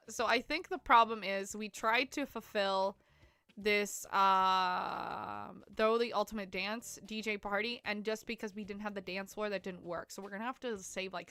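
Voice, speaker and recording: Young woman game streamer, congested with a cold, chatty and giggly, bright tone, dry close sound. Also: mid-range voice, smooth, dry recording. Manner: chatty and giggly, many fillers. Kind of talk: casual live-stream gameplay chat.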